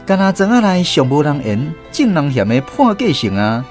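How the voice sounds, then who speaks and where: Animated Taiwanese nostalgic male storyteller. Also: a little high, moderate pace, faint music behind. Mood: reflective storytelling. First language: Taiwanese Hokkien. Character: man fondly recalling his rural boyhood. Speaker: narrator telling his childhood story. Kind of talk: radio drama storytelling narration.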